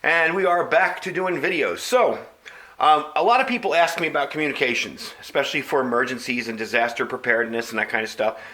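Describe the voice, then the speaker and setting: A man with a bright, forceful voice at a middle pitch presents a product to his viewers. He speaks casually and conversationally, explaining things plainly as he goes. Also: fairly quick. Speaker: video channel host. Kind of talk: casual product review to camera.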